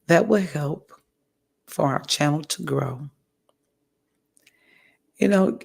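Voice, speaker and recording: Raspy, halting woman speaking slowly. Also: low-pitched, a little mellow. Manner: slow, halting, clears throat often. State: hoarse, recovering from a stroke. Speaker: vlogger. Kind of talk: candid personal update. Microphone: audio only, home recording.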